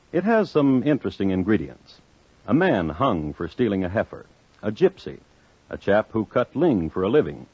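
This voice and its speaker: Deep, husky male crime-story narrator. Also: a little mellow, no room sound. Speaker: host and narrator. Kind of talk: scripted 1950s radio crime narration. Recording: muffled old 1950s radio recording.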